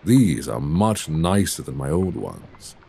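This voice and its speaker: A man voices a Victorian gentleman vampire with a very low voice that swings widely in pitch. He speaks slowly and intimately in courteous, old-fashioned phrases, restrained and secretive, as in a romantic ASMR audio drama. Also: very animated, heavily acted. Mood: genteel, amused. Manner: formal, genteel period diction with endearments. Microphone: close binaural microphone. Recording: binaural recording with clear background ambience.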